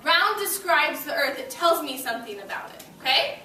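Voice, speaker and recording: Lady teacher talking to little kids, high bright voice, kinda far from the mic in an echoey classroom. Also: expressive, normal speed, over music. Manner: addresses young children directly with short prompts and questions. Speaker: elementary school teacher. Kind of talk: interactive classroom read-aloud lesson. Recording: roomy, distant mic with background noise.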